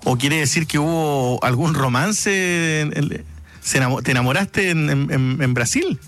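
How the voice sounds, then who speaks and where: A man's voice: fairly high pitch, a little slow, a bit bright. A man with a Chilean accent hosting a late-night radio interview, asking long, chatty questions full of fillers.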